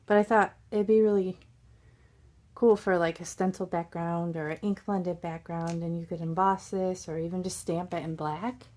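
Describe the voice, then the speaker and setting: Hoarse, chatty woman showing off craft supplies. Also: on the low side, fairly even intonation, normal speed, darkish tone. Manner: chatty and bubbly, full of little asides and puns. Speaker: crafting channel host. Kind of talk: casual unboxing chat. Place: indoors at a craft desk.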